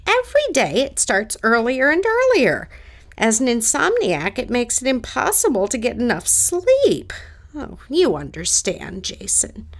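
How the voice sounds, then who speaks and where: A woman's voice: mid-range voice, a bit bright, heavily acted. A woman playing a kindly, chatty older lady in an audio drama, genteel in manner as she befriends a lonely ghost. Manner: genteel, chatty, addresses others as dear.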